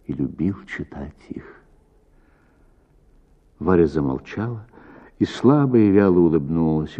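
A man narrates a literary story in a low, slightly husky voice. He takes his time and shapes phrases expressively, like an actor on radio. The sound is a little dull. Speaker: actor reading a short story.

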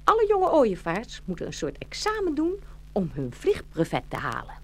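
Lively, brisk mother stork. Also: medium pitch. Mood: coaxing, playful. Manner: animated, admonishing, coaxing. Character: mother stork, motherly, brisk, patient but scolding, reassuring. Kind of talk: children's fairy-tale radio play acting.

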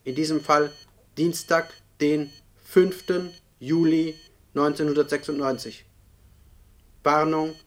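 An actor playing an emotionless bureaucratic machine in a German radio play, reading official notices in a clipped, officious way. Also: male voice, on the high side, slight background noise, lightly acted.

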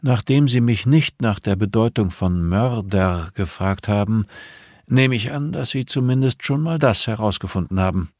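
A man voicing a calm, grieving older nun in an audiobook, measured, solemn and quietly reproachful. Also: lowish voice, no room sound, clean studio sound, voice pushed up. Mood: resigned, ominous.

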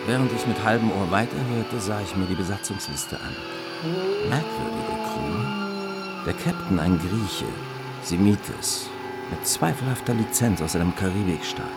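A wry, melancholy detective in his late thirties in a radio drama. His voice sits fairly low and he talks in a dry, laconic, slightly hesitant way. A loud music bed runs behind him. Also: very animated, moderate pace. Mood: dry irony. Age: late 30s. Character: wry, melancholy German police investigator, nearly forty. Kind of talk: radio drama, first-person narration and dialogue.

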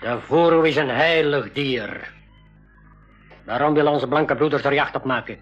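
A man's voice: mid-range voice, normal speed, fairly bright tone, close to an everyday voice. An actor playing a solemn, ceremonious medicine man of a jungle tribe in a 1980s radio drama, speaking formally. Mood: solemn, wary. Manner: formal, ceremonious, stilted phrasing. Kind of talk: 1980s Dutch-language school radio drama acting. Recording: dull lo-fi radio recording with music.

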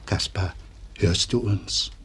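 Low-voiced sober guardian. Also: male voice, slow, slight background noise. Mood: concerned, subdued. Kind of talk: German radio play acting.

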